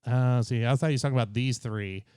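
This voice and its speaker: A man's voice: mid-range voice, quick pace, darkish tone, clear, resonant tone, no echo, clean studio sound. A tipsy man co-hosting a podcast, chatting in a rambling, crude, joking way. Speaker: podcast co-host. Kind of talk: casual chat.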